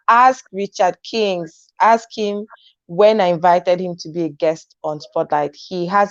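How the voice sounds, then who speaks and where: A woman's voice: medium pitch, darkish tone, no echo, dull audio. A woman hosting a live online talk show, reading viewer comments aloud and encouraging her guest, laughing often.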